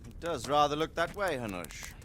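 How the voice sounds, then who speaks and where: An actor playing an educated, composed medieval commander in a video game, with a dry, measured, authoritative manner. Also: male voice, fairly high pitch, big pitch swings, fairly bright tone, slight background noise. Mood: wry. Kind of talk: dramatic video game acting.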